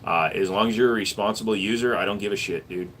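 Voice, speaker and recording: A man who runs a gun shop, hosting a casual livestream where he reads viewer messages aloud and answers them in an offhand, relaxed way. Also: lowish voice, fast talker, slight background noise, poor audio.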